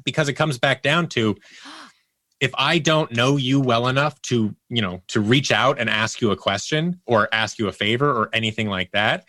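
A man's voice: medium pitch, pushed and bright, no room sound. A man speaking as a guest in a casual interview, candid and emphatic, often acting out little exchanges as quotes. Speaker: interview guest, audio producer.

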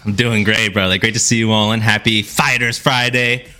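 Lowish voiced guy co-hosting sports pod, bright punchy sound, hyped up, lots of slang. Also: fairly even intonation, moderate pace, soft background music. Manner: hype-man slang, enthusiastic. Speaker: podcast co-host. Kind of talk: casual sports podcast chat.

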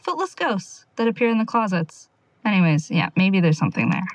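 A woman playing a wry young amateur investigator in an audio drama, quietly talking through her notes in a rambling, sardonic, confiding way. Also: medium pitch, sing-song, fairly quick, close to an everyday voice. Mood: wry, musing. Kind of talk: audio drama monologue, casual confiding log.

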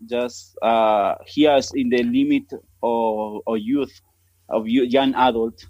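Argentine missionary, halting, searching for words. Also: male voice, medium pitch, steady tone, darkish tone, dry recording, lo-fi sound. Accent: Argentine Spanish. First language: Spanish. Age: 30s. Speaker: missionary guest. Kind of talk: podcast interview.

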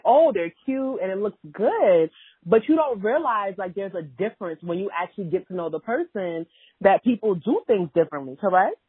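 A woman hosts a casual relationship podcast, talking in a loose, chatty way full of "like" and "you know". Her voice sounds breathy and is thin and phone-like. The room is dry, with no echo. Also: lowish voice. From Ghana (family), raised in America. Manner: chatty, filler-heavy, laughs easily. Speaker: podcast host. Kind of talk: casual chat.

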